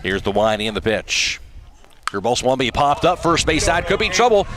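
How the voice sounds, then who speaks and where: Male sports announcer, mid-pitched voice, live baseball play-by-play, short clipped phrases, radio broadcast. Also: big pitch swings, normal speed. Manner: clipped, fragmentary call of each pitch. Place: outdoor ballpark broadcast.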